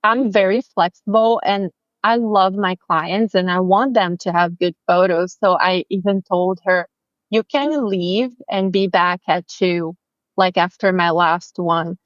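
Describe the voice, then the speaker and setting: A woman's voice: on the low side, a little slow, dry recording, dull audio. A woman from Brazil with a Brazilian accent, chatting in an interview about her photography work, friendly and full of fillers and restarts. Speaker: family photographer, guest. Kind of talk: casual interview chat.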